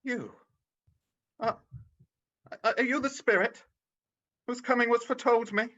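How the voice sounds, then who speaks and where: A woman's voice: fairly high pitch, fairly even intonation, a little slow, a bit bright. A woman playing a frightened, bewildered old miser in a radio play, stammering and hesitant. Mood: wary questioning. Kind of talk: radio play rehearsed reading over video call. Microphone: video call. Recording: dull, lo-fi video call audio.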